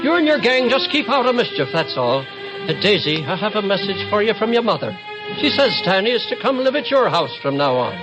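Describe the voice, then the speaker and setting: A gruff, good-hearted rescuer in an old radio drama, with music behind him. His voice is high for a man and very animated, brisk and avuncular as he takes charge. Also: muffled recording. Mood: kindly gruff. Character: gruff but kindly rescuer, adult man. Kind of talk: 1950s radio drama acting. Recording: vintage radio recording with music.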